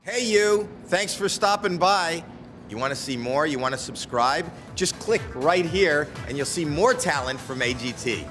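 Man pitching subscriptions over music. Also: expressive, measured pace, a bit bright. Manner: playful direct address to viewers. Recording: hall reverb under music.

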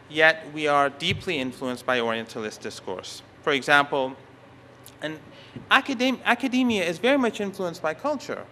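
A man whose first language is Persian speaks with a bright, forceful tone set a little higher than usual. He delivers a lecture at a conference, stopping often to restart phrases and leaning hard on key words. Faint noise from the hall sits behind him. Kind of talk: academic conference talk.

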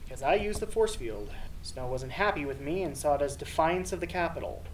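A man's voice: on the high side, lively intonation, a little fast, close to an everyday voice. Man as a bitter former champion, distant. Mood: bitter, grim. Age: young adult. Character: bitter male former victor recalling his losses. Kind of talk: radio play acting. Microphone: studio microphone. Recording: distant, slightly noisy recording.

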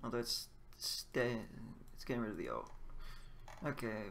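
A man quietly talking to himself in a mumbling, low-key way while he studies and repeats phrases. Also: very animated, moderate pace, gravelly, slight background noise. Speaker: language learner. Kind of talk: casual self-talk while studying.

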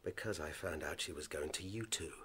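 An actor playing a smug, cold-blooded young constable turned killer, with a British accent, in a radio drama. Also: male voice, extremely low pitch, a little fast, pushed and bright, breathy edge, exaggerated voice. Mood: cool, smug.

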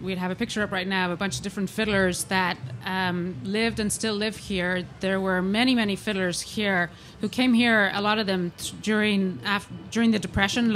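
A middle-aged woman moderating a festival panel, speaking in a halting, self-correcting way into a stand mic in a large tent. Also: medium pitch, a bit bright, slight background noise. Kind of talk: introducing a panel segment.

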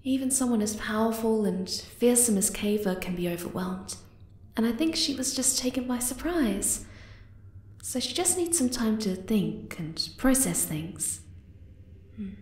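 A woman voicing a shy, flustered young moth-girl lover in an ASMR roleplay, hesitant, tender and soft-spoken. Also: on the high side, animated, measured pace, reverberant. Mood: gentle, comforting.

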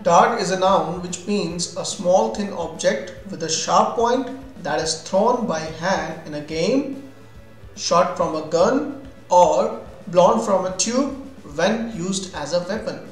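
High-voiced Indian man teaching English. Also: takes it slowly. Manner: instructional, defining terms then giving examples. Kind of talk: scripted vocabulary lesson narration. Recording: roomy echo with music behind.

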